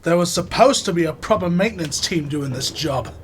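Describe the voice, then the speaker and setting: Actor as a higher-voiced, weary British workman. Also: lively intonation, a little fast, background noise, close to an everyday voice. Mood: weary, annoyed. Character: weary, determined British maintenance man. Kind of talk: radio drama acting.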